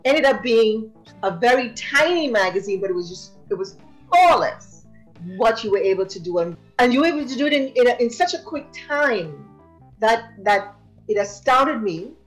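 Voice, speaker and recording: A woman's voice: on the high side, lively intonation, normal speed, soft background music, rough recording. A woman hosting a podcast interview, speaking effusively and full of praise for her guest. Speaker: podcast host. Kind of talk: interview conversation.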